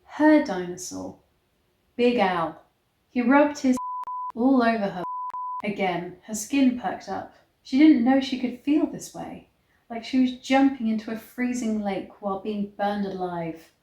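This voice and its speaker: A woman reading a story aloud in a measured, theatrical storybook style. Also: mid-range voice, expressive, dark tone, muffled recording. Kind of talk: dramatic storybook reading with disgusted asides. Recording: echoey, roomy sound.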